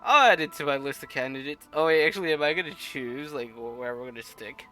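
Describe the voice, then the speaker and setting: Low-voiced woman streamer, dry. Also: fast talker, pushed and bright. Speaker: game streamer. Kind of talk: reading game dialogue aloud on a livestream. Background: game music.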